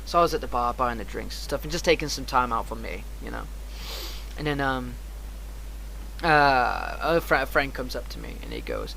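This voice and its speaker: A man casually telling a rambling, animated anecdote on a livestream, acting out the dialogue and swearing often. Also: mid-range voice, moderate pace. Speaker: streamer. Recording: clearly noisy background.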